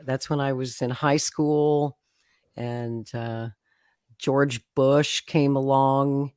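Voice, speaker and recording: A woman's voice: extremely low pitch, fairly even intonation, drawn-out words, a little mellow, clear, resonant tone, no room sound, clean studio sound. A woman, a guest telling her political life story in a chatty way, laughing often and acting out quotes. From Oklahoma, USA. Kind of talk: conversational storytelling.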